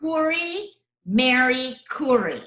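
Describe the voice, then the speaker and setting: Woman playing a bright, high, eager housewife. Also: very animated, slow pace, exaggerated voice. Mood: eager, effusive. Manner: effusive, eager. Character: busy, eager, gushing suburban housewife. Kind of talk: old-time radio comedy acting. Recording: roomy, echoing remote recording.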